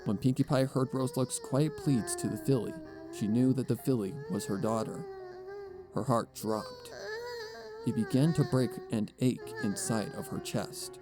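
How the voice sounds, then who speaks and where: Animated male storybook narrator over music. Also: medium pitch, unhurried. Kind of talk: scripted storybook narration of fan fiction.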